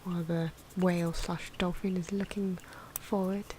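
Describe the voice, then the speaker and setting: A soft-spoken woman giving ASMR drawing commentary, often slipping into a breathy whisper, with hesitant, unhurried phrasing. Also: lowish voice, flat delivery. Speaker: ASMR video creator narrating own drawing. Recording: noisy, pencil scratching and ambient sound. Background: pencil and pen noises, outdoor wind.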